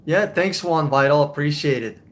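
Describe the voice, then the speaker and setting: Young man, slightly high voice; casual, blunt live-stream car advisor. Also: moderate pace, a bit bright. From Russia. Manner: casual, blunt, swears, chuckles often. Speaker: car buying advisor, livestream host.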